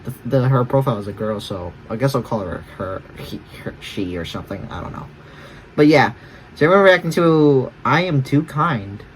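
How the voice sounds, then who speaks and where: A man gives casual reaction commentary in a mid-pitched voice, with some noise in the recording. He rambles along and often corrects his own wording as he hosts. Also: rough recording. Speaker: reaction video host.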